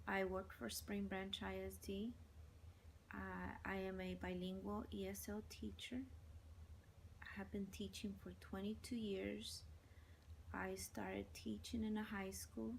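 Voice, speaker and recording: Measured woman, Spanish native speaker. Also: mid-range voice, flat delivery, gravelly, slight background noise, rough recording. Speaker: bilingual ESL teacher. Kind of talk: interview answers.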